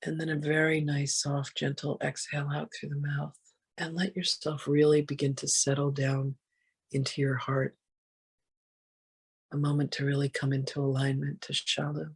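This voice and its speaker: A guided meditation in a soft, breathy voice that stays on an even, narrow pitch. The delivery is gentle and soothing, with unhurried phrases and long pauses between them.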